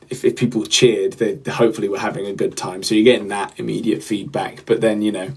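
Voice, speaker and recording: A young man, a podcast host and singer, rambling casually on a livestream with lots of fillers and restarts while he reads the chat. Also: medium pitch.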